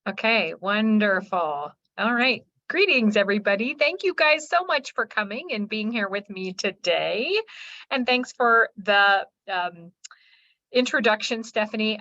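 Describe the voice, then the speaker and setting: Woman, guest speaker from Texas, chatty, bright tense voice, slightly muffled video-call sound. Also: mid-range voice, a little slow. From Texas, United States. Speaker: guest speaker, therapist and educator. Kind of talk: informal webinar presentation.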